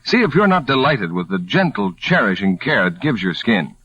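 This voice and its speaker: A man's voice: on the low side, big pitch swings, normal speed, sharp tone, slightly rough, dry recording. A man announcing a 1940s radio commercial in a selling style. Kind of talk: 1940s radio advertising pitch. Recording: dull, dry vintage radio recording.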